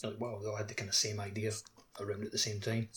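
Male podcast co-host, lowish monotone, loose hedging casual talk. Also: rapid-fire, slight background noise. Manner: loose, hedging phrasing heavy with "like" and "kinda". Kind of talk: casual discussion.

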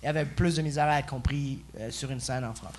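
A man with a faded Acadian accent, a comedian guest explaining in a measured way. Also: medium pitch, darkish tone, a little hiss or hum. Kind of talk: casual podcast banter.

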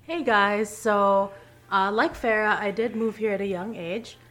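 A woman's voice: mid-range voice, unhurried, fairly bright tone. A young woman born in the Philippines and raised in Canada, chatting candidly as a podcast guest with self-deprecating anecdotes. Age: young adult. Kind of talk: casual chat, anecdote.

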